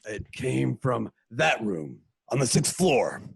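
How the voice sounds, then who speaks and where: A man playing Batman in a home-recorded radio play, with deliberate, mock-serious deductions. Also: mid-range voice, very animated, vocal fry, breathy edge, no echo, clean studio sound, heavily acted. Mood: deadpan. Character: Batman, grave adult male crime-fighter, smug deductive. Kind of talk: amateur radio play reading. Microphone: home mic, dry room.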